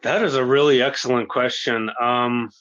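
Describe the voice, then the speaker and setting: Bright, forceful man in his thirties, muffled recording. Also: medium pitch, fairly even intonation, normal speed. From born in Russia, grew up in the United States. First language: Russian. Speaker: interview guest. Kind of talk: conversational personal account. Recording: dull, lo-fi voice recording.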